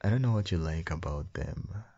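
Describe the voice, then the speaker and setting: A man with a gravelly, husky voice doing a soft, intimate boyfriend ASMR roleplay as a loving, doting husband and father. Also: low-pitched, lively intonation, muffled recording. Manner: tender, doting, slow, murmured with soft hums.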